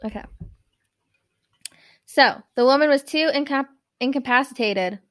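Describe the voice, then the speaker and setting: A woman's voice: sits high, measured pace. A woman co-hosting a podcast, retelling a true crime case in casual chat, rambling with lots of "like"s and tripping over long words. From New York. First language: Spanish. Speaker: podcast co-host.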